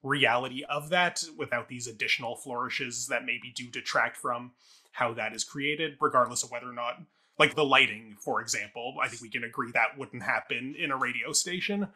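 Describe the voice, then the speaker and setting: A man's voice: medium pitch, moderate pace, bright, forceful tone, breathy edge. A man co-hosting a casual review discussion, reasoning things through in an analytical, conversational way with frequent qualifications. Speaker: theatre review show co-host.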